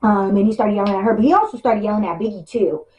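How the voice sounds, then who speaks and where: Female reality cast member, medium pitch, loose hesitant chatty delivery, roomy echoing recording. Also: quick pace, very noisy. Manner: rambling, hesitant, slangy. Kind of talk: casual chat. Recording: echoey room sound.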